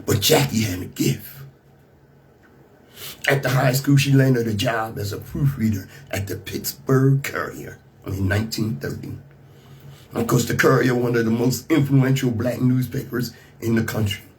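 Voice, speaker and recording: A man with an American accent and a slightly rough voice, hosting a radio talk show with emphatic, rhetorical commentary. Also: medium pitch, steady tone, a little slow. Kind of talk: radio monologue mixing scripted commentary and casual asides.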